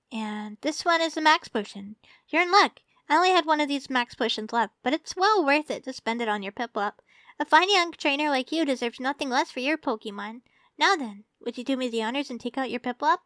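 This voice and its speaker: Casting: actress as sweetly obsessive young female champion for ASMR roleplay; high voice, coaxing and teasing, close dry sound. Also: expressive, a little fast, a bit bright, no echo. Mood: caring, cheerful. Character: tall, doting, teasing young woman Pokemon champion, secretly obsessive.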